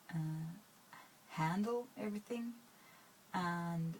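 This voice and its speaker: A woman's voice: fairly low pitch, darkish tone, slight background noise, poor audio. A woman, talking to her viewers in a rambling, hesitant way with many fillers and restarts. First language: Swedish. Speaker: vlogger. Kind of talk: casual talk to camera.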